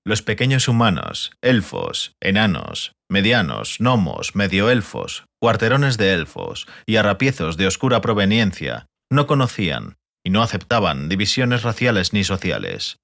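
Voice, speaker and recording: Animated lowish-voiced male audiobook narrator. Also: a little slow, gravelly. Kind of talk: scripted literary fantasy narration.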